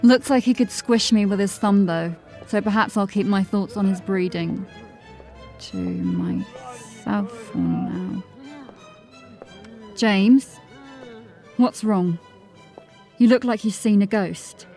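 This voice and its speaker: A bold undercover watchwoman with an average-pitched voice. She is wry, teasing and confident, in fantasy audio drama style over faint music. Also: lively intonation, slow, long pauses, a little mellow. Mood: concerned. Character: bold, playful, catty female watch officer undercover.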